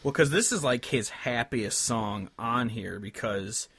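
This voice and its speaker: A man's voice: mid-range voice, measured pace, fairly bright tone, slightly rough, no room sound, studio quality. A man co-hosting a music podcast in casual conversation. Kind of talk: casual chat, introducing album tracks.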